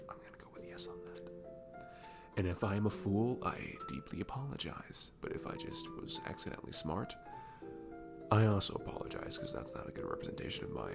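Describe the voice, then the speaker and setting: Man acting a deep-voiced, gravelly, sweet barista. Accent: faint Southern US. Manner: soft, halting, chuckling, drifting into near-whispers. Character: cute, goofy, comforting young male barista, sweet and self-deprecating. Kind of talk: intimate ASMR roleplay to the listener.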